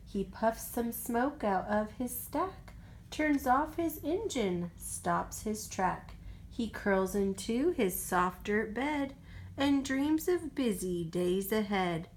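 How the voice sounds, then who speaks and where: A woman reads a bedtime storybook aloud at a slow, unhurried pace. Her pitch swings freely and expressively, as a mother reading to her young child does. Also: slight background noise. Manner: expressive, drops to whispers for goodnights.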